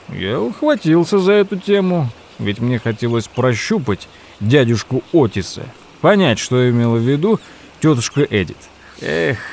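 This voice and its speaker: An actor playing a thoughtful, polite nephew who narrates an audio drama in a measured, wry way. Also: male voice, medium pitch, slight background noise, close to an everyday voice. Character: thoughtful, polite nephew recounting an odd tale. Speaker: narrator and lead character.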